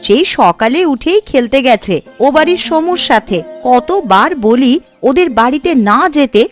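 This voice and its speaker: A woman's voice: high voice, expressive, normal speed. A woman voicing a quarrelsome, outspoken village housewife in a folk-tale cartoon, scolding and argumentative. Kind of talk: animated cartoon voice acting. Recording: music under the voice.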